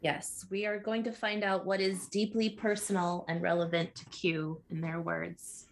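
A woman's voice: on the low side, little pitch movement, normal speed, a little hiss or hum, poor audio. A woman co-hosting a casual podcast chat, talking in a chatty, self-correcting way and laughing often. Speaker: podcast co-host.

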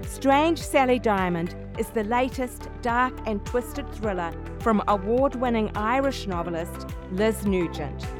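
A woman's voice: fairly high pitch, animated, unhurried, few pauses. Woman podcast host with music behind. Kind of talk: podcast interviewing and scripted introductions.

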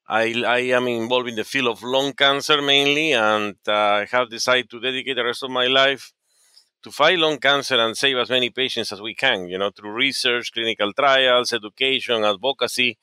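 Earnest Peruvian-accented man, bright smooth voice. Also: medium pitch, no echo. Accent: Peruvian Spanish. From Peru. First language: Spanish. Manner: earnest, halting with frequent fillers and restarts. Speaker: oncologist guest. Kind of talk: podcast interview.

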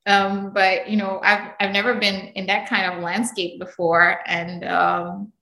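A young adult woman from Indonesia, a podcast guest telling travel stories in an animated, laughing way. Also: medium pitch, unhurried, vocal fry, reverberant, rough recording. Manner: animated storytelling, frequent laughs. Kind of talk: casual interview chat.

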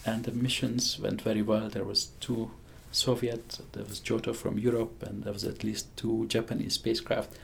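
A man's voice: lowish voice, flat delivery, normal speed, gravelly, hardly any pauses, slight background noise. A man in his sixties with a Hungarian accent, talking enthusiastically in an interview, chuckling often and restarting his sentences. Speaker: physicist interviewee. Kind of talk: conversational interview.

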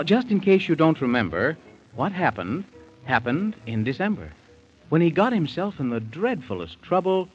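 A man's voice: on the high side, husky. A man narrating a 1930s radio bedtime story in theatrical, folksy, sing-song rhyme. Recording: dull 1930s radio recording.

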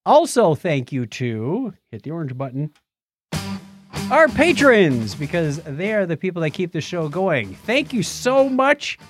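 A man's voice: a little high, sing-song, measured pace, husky, soft background music. A man co-hosting a podcast in a playful, informal way, moving between casual chat and read-out items. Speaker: podcast co-host.

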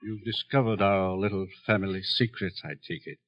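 An actor playing a grave, resigned, husky-voiced wealthy father in a 1950s radio drama. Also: deep voice, normal speed, natural voice. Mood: resigned confession. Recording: dull lo-fi 1950s radio recording.

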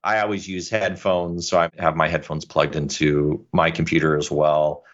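Very deep-voiced man on dull audio. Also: level tone, moderate pace, fairly bright tone. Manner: candid, self-deprecating. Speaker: lawyer and podcaster. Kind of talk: casual conversation. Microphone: USB microphone into a computer.

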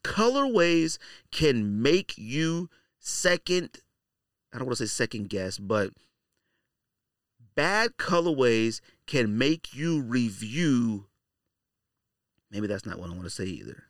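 A man's voice: mid-range voice, big pitch swings, slow pace, long pauses, dry recording, clean studio sound. A man hosting a podcast with casual, opinionated commentary in slangy, colloquial speech, repeating phrases for emphasis. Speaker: podcast host.

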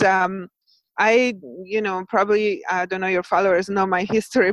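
A woman's voice: mid-range voice, no echo, low-quality recording. An Italian-accented woman in her forties, a guest in a casual interview, talking animatedly with fillers and frequent laughter. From Italy. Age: 40s.

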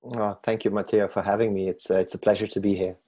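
A man in his forties from Belgium, telling his own story as a podcast guest in a reflective, measured way with some self-corrections. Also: on the low side, little pitch movement, dark tone, no echo. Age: 40s. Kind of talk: reflective personal storytelling in an interview.

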